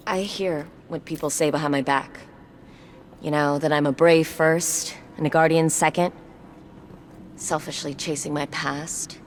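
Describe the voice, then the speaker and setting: A woman's voice: lowish voice, slight background noise, natural voice. An actress playing a determined young female tech expert who talks in an earnest, direct way.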